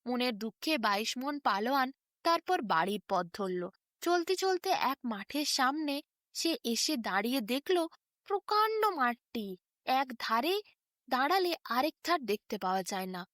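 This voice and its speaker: High, very animated woman storyteller. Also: unhurried, no echo, studio quality. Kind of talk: scripted children's fairy-tale narration.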